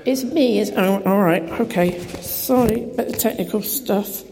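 A woman preacher with a croaky voice, giving a chatty, informal sermon into a PA microphone in a church hall, with self-deprecating asides. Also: mid-range voice, a little slow, a crowd in the background. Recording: reverberant hall with faint noise.

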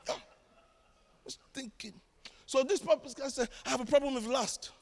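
A man with a Ghanaian accent preaching a sermon as pastor, telling stories with humor and swinging from shouts to hushed asides. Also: very high voice, normal speed. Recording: long hall reverb.

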